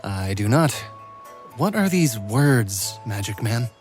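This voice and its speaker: A man's voice: mid-range voice, takes it slowly, soft background music. Man as a sardonic, wary young hunter. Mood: deadpan. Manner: deadpan, sardonic. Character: dry, wary young male hunter, sarcastic straight man. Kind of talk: comedic anime parody voice acting.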